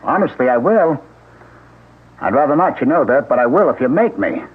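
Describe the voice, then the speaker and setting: Man voicing a menacing killer, cold, deliberate, radio drama, tinny lo-fi. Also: mid-range voice, natural voice. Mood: threatening. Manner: cold, calmly threatening. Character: menacing deranged male killer, middle-aged. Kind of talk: 1970s radio drama acting. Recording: tinny lo-fi old radio broadcast.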